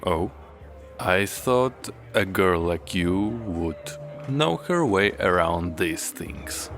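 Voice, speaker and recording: Suave young Russian doctor played by a man, low rumbling voice, unhurried formal delivery, romance audio drama, music underneath. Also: animated, fairly bright tone, heavily acted. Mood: teasing, suave. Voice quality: smooth, rumbling. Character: smooth, tall, wealthy young Russian doctor, formal and self-assured.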